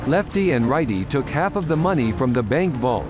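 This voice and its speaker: A man's voice: mid-range voice, very animated, normal speed. A man narrating a scripted audio drama story. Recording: loud music under the voice.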